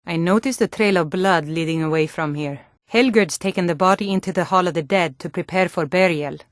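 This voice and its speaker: A woman's voice: lowish voice, fairly quick, dry recording, studio quality, lightly acted. An actress playing a stern, world-weary female Nord guard in a fantasy video game, speaking in a blunt, matter-of-fact way. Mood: grim.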